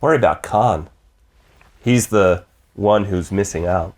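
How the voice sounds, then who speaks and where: A man's voice: low voice, measured pace. A man playing cocky, teasing teenage boys in a novel table read.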